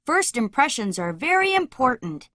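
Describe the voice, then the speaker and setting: A woman playing a confident, bossy young boy leader in a children's animated story. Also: high voice, moderate pace, heavily acted. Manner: self-assured.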